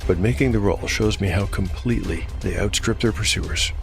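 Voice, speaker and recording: A man's voice: fairly low pitch, moderate pace, vocal fry. A man hosting and narrating a fantasy game audio drama in a scripted storytelling style. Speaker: podcast host, game master and narrator. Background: music bed.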